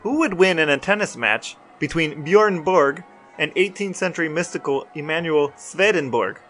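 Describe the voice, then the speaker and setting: A man co-hosting a casual podcast, talking in a deadpan way. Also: a little high, moderate pace, faint music behind. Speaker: podcast co-host. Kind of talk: casual podcast talk with read-out questions.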